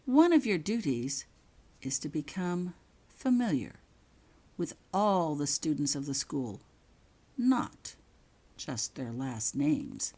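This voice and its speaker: Woman playing a menacing storybook headmaster, male, near-whispering, slow deliberate pauses, cold control, swooping emphasis. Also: low-pitched, sing-song, dark tone, full character voice. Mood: cold rebuke.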